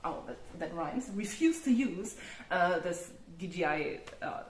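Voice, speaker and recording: A woman's voice: fairly low pitch, lively intonation, a little slow, roomy sound, slight background noise. A woman with a German accent, hosting a casual chat and laughing often. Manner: laughs often, restarts, loose and chatty. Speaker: host.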